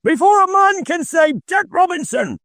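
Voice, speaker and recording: A man voicing a jolly, boisterous old male merchant with a rich, jovial voice, shouting cheerfully in an audiobook. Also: extremely high pitch, animated, moderate pace, dry recording, clean studio sound, pitched above the performer's own voice, exaggerated voice. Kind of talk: audiobook character voice.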